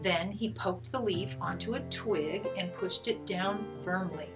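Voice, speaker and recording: Woman reading a kids story, gentle n expressive, takes it slow, guitar music playing. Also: fairly low pitch. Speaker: storyteller reading aloud to children. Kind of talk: expressive scripted story narration.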